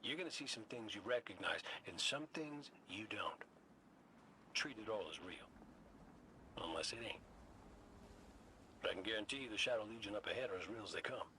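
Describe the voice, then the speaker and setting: Wisecracking robot gunslinger, bright forceful voice, lively pitch, folksy swagger, video game dialogue. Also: male voice, moderate pace, pauses often, a little hiss or hum. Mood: nostalgic. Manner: wry, colloquial, swaggering. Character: cocky wisecracking veteran robot gunslinger scout, folksy and roguish.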